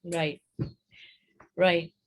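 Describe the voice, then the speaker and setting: A woman with a gravelly voice, a former voiceover actress, musing thoughtfully in a book club chat. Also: fairly low pitch, little pitch movement, drawn-out words, noisy background. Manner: thoughtful, searching for words. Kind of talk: casual book and film chat.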